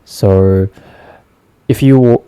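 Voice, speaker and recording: Japanese guy in his 20s, low voice, lots of ums and restarts, dry close sound. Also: drawn-out words, warm tone, smooth, no echo. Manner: hesitant, many fillers and restarts. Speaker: vlogger. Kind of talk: casual personal story.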